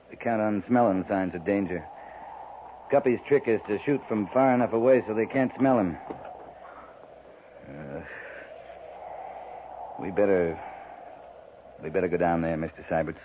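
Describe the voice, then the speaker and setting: A man with an American accent playing a grave, world-weary cavalry captain in a 1950s radio western, speaking in terse, deliberate phrases. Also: on the low side, natural voice. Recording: muffled, noisy 1950s radio recording.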